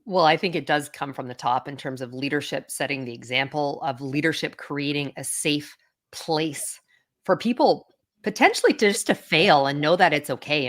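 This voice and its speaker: A woman's voice: deep voice, a bit bright. A middle-aged woman executive speaking as an interview guest, candid and self-assured, with frequent fillers. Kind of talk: conversational interview.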